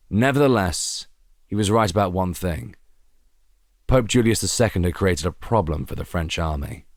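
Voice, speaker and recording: A man reading a scripted historical story as a narrator, in a measured, deliberate, theatrical way. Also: low voice, dry recording, studio quality. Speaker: audio drama narrator.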